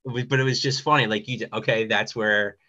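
A man with a very bright, forward voice, chatting as a guest on a panel. He rambles casually and often says "you know". The recording is slightly dull and lo-fi. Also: lowish voice, fairly even intonation, fast. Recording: dull, lo-fi sound.

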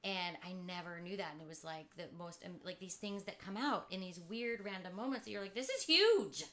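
A woman's voice: fairly low pitch, expressive, a little fast, fairly bright tone. A woman co-hosting a podcast, telling a family story in a chatty way and swearing playfully. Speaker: podcast co-host. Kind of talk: casual chat.

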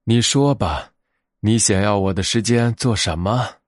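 Man voicing a good-natured old grandpa bear, slightly lower. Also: unhurried, dry recording, studio quality, close to an everyday voice. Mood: eager, agreeable.

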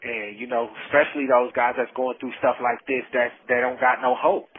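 A man's voice: mid-range voice, flat delivery, fairly quick, husky. Earnest man on a muffled phone line. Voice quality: casual vernacular speech peppered with "man". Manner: earnest, faith-filled, repeating himself for emphasis. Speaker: incarcerated storyteller. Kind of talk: heartfelt personal testimony over a phone call. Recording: muffled, band-limited prison phone call.

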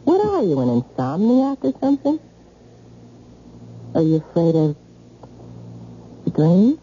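Brash, mellow-voiced, animated girlfriend. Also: lowish voice, measured pace. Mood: teasing, probing. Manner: breezy, slangy, teasing. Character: brash, flippant, night-owl girlfriend of a stockbroker. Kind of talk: old-time radio drama acting. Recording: muffled, noisy old radio recording.

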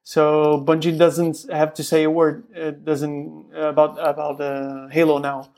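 A man co-hosting a casual tech podcast, animated and quick to laugh, with excited outbursts. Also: on the high side. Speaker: podcast co-host.

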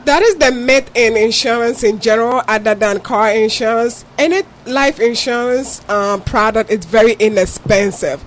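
A woman's voice: on the high side, moderate pace, hardly any pauses, a little hiss or hum. A woman from Ghana with a Ghanaian accent, a guest on a talk show who explains insurance patiently and earnestly with examples. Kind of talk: interview answers.

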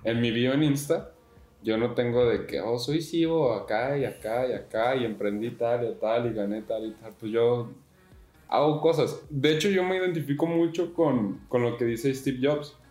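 A man's voice: mid-range voice, normal speed, a little mellow. Slangy young Mexican guy, echoey room. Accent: Mexican Spanish. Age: 20s. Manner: laid-back, slangy, laughs often. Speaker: podcast guest. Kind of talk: casual podcast interview.

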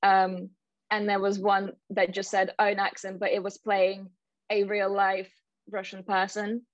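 A woman's voice: medium pitch, monotone, a little slow, no room sound. A woman actor from Eastern Europe, asking a casting question in a tentative, apologetic way over a video call. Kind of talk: workshop question. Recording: dull lo-fi video call audio.

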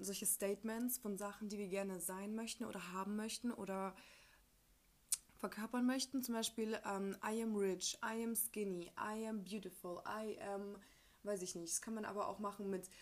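A young woman from Ukraine hosting a casual personal podcast, speaking informally with youth slang and bits of English mixed in. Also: medium pitch. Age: young adult. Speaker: podcast host.

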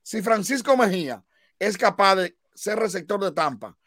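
A man's voice: high-pitched, lively intonation, normal speed, a bit bright, no echo, clean studio sound. A man analyzing baseball on a panel, emphatic and playful, lecturing at times. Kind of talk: emphatic sports panel talk.

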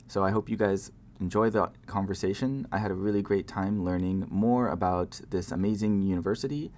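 A man's voice: deep voice, steady tone, fairly quick, a little mellow. A man hosting a podcast, introducing his guest in a casual, friendly way with lots of fillers and restarts. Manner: friendly, rambling with many fillers. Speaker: podcast host, researcher and educator.

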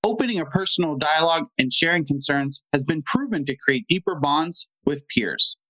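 Bright, higher-pitched male podcast host. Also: animated, normal speed, rough recording. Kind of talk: conversational podcast hosting.

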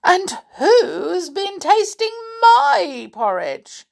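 A woman voicing an indignant mother bear in a children's storybook, crying out with drawn-out emphasis. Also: very high voice, very animated, vocal fry, pitched above the performer's own voice, exaggerated voice.

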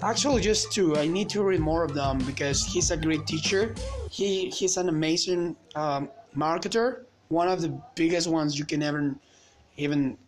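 A man's voice: fairly high pitch, moderate pace, soft background music. A man whose first language is Spanish, giving a rambling, motivational solo podcast monologue in casual chat.

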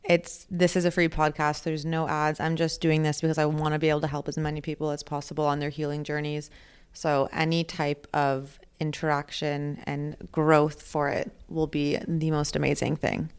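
Low-voiced bubbly woman podcast host. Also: flat delivery, normal speed, smooth. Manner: bubbly, gushing, laughs often. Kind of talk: casual podcast interview.

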